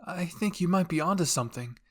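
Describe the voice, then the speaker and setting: A man's voice: fairly high pitch, animated, fairly quick, a bit bright, slightly rough, voice pushed up. A man voicing a thoughtful, tentative teenage boy soldier, reasoning aloud in an anime fan roleplay.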